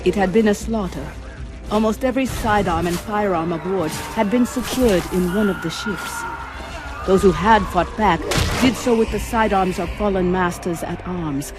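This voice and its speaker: A woman's voice: medium pitch, moderate pace, over music, lightly acted. A woman playing a composed female shipboard artificial intelligence in a sci-fi drama, dry, precise and clinical. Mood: grave. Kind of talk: science fiction audio drama acting.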